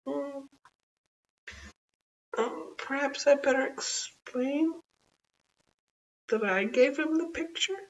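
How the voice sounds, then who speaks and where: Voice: high-pitched, slow, long pauses, distant mic, dull audio, pitched above the performer's own voice. An adult storyteller voicing a small, outspoken girl, innocent and indignant, in storybook dialogue. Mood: hesitant, sheepish.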